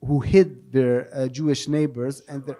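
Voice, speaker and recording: A man's voice: mid-range voice, little pitch movement, unhurried, dark tone. Man moderating a public panel. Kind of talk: spontaneous panel answers and spoken translation. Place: live public event with audience questions.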